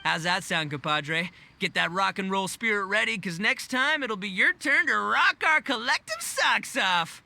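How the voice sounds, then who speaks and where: An actor playing a brash, boisterous rock-loving oni gang boss in a video game, with gravelly, slangy swagger. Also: male voice, sits high, expressive, unhurried, few pauses, pushed and bright, heavily acted. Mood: hyped, friendly. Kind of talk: animated video game voice acting.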